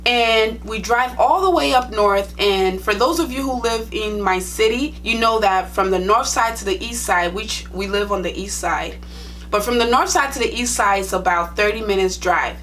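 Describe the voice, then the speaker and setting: A young woman with a Liberian accent talks straight to her viewers in a casual vlog. She is chatty and frank, with a voice of ordinary pitch. A faint hum sits under the recording. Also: moderate pace, fairly bright tone. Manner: chatty, direct, plain-spoken. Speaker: nursing vlogger.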